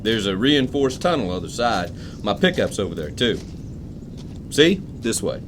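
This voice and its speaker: A man's voice: lowish voice, sing-song, measured pace, noisy background. An actor playing an eccentric, suspicious older man, a retired engineer with folksy, clipped country phrasing, in an audio drama. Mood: chatty, proud. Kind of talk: full-cast audio drama acting.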